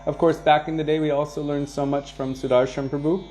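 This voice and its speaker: A man leading a devotional program, casually answering viewer questions and sharing anecdotes in a relaxed way, chuckling now and then. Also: a little high, a little fast, faint music behind. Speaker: kirtan leader and devotional teacher.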